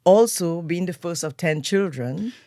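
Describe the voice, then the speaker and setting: A woman's voice: fairly low pitch, animated, a little slow, warm tone, no echo. Older Trinidadian woman, dry studio voice. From Trinidad and Tobago. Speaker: guest, event planner. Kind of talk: relaxed storytelling interview. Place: radio studio.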